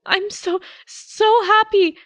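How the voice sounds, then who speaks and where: A woman plays an anxious, caring teenage boy in an audiobook. The voice is pitched well up and stumbles over its words with emotion. The sound is dry. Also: steady tone, fairly bright tone, no room sound, full character voice. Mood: tearful happiness. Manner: stammering, halting, breathless rambling. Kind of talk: audiobook character voice.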